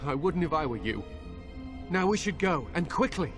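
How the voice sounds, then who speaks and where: A man's voice: fairly high pitch, sing-song, moderate pace. A man voicing an earnest, plain-spoken father who helps the hero. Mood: relieved. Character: worried father, a helpful middle-aged man. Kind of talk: video game cutscene voice acting. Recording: game audio with music behind.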